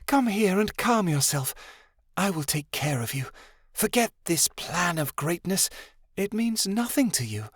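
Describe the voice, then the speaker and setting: A man voicing a false motherly female dragon who speaks softly and coos. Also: high-pitched, big pitch swings, a little slow, husky, dry recording, studio quality, voice pushed up, full character voice. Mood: cooing. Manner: soft, cooing.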